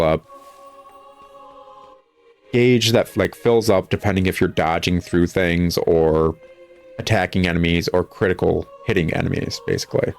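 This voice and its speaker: Low-voiced man, sarcastic gaming commentary. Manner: sarcastic, exasperated, rambling. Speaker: gaming video host, critic. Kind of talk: casual, critical video game commentary.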